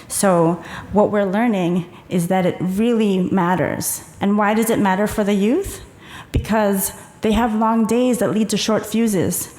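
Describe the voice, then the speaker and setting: A woman giving a conference talk, calmly guiding the audience through a breathing exercise and explaining the ideas behind it. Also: medium pitch. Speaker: conference presenter. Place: conference hall. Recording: echoey conference hall.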